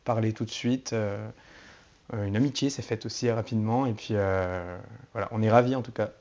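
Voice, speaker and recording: A man's voice: on the low side, fairly even intonation, normal speed, darkish tone. Man chatting in interview, slightly muffled. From Turkish family background. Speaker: band musician being interviewed. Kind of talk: relaxed interview conversation.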